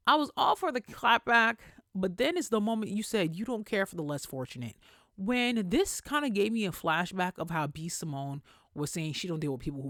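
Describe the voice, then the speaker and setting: Expressive podcast host with a dry, close sound. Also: medium pitch, no echo. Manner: conversational, emphatic, slangy. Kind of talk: casual opinionated commentary on celebrity news.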